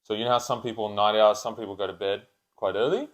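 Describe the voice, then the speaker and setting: A man's voice: fairly low pitch, fast. A man in his twenties chatting casually and unscripted as a podcast co-host, with blokey, sweary, slangy talk. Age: 20s.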